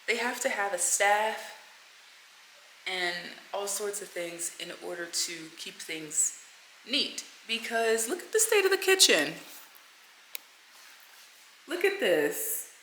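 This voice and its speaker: Woman, 30s, chatty vlogger voice, bright and forward, light background hiss. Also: medium pitch, big pitch swings, slow, long pauses, low-quality recording. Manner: chatty, addresses viewers as y'all and folks. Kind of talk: casual vlog chat to camera. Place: home kitchen, then a car.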